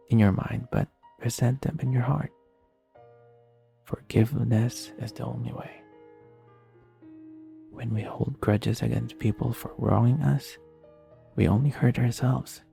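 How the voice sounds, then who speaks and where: A man playing a gentle, caring best friend, speaking in a soft, breathy voice with soothing, earnest, unhurried delivery in an intimate comfort roleplay over music. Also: mid-range voice. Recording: music under the voice. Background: soft instrumental music.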